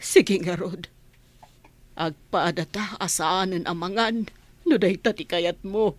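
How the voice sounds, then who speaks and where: A woman's voice: fairly low pitch, fairly even intonation, slow pace, no echo. A woman playing an anxious, pleading mother in an Ilocano radio drama, with an Ilocano accent and an emotional delivery. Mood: gentle pleading. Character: anxious, pleading mother fretting over her grown child.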